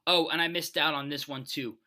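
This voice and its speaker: A man's voice: fairly high pitch, steady tone, a little fast, pushed and bright. A man giving casual, unscripted ranking commentary, rambling and emphatic as he shares his opinions. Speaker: video host.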